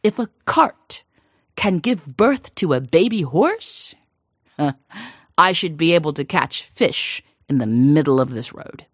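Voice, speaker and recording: A woman voicing a wily poor peasant man who speaks slowly and knowingly. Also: low-pitched, animated, slightly rough, no room sound, studio quality, exaggerated voice. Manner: slow, knowing, mock-innocent.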